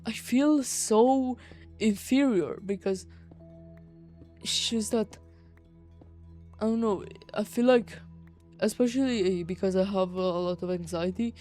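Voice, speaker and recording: A teenage girl with a Romanian accent talks in a soft-edged, mellow voice over background music. She is venting casually, exasperated and emphatic, with plenty of slang and swearing. Her pitch sits in an average range for a young woman. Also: a little slow, long pauses. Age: teenager, high schooler. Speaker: vlogger. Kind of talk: casual personal rant.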